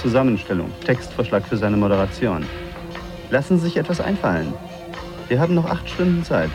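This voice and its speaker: A man's voice: mid-range voice, expressive, fairly quick, close to an everyday voice. An actor playing an impatient, demanding media-company man in tense radio drama dialogue. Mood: brusque, pressing. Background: music.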